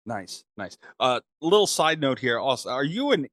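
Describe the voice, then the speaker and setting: A man's voice: mid-range voice, very animated, moderate pace, a bit bright, no room sound, clean studio sound. A man hosting a podcast, chatting casually as he interviews a guest.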